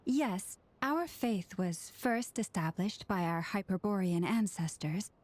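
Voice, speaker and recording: A woman voicing a gentle, courteous young priestess in an anime-style video game, with a formal, measured, earnest manner. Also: mid-range voice, lively intonation. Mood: composed.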